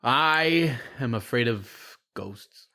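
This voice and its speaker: A man's voice: mid-range voice, expressive, slow, sharp tone. A man chatting casually with young kids, asking questions and repeating their answers back. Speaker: host interviewing his children.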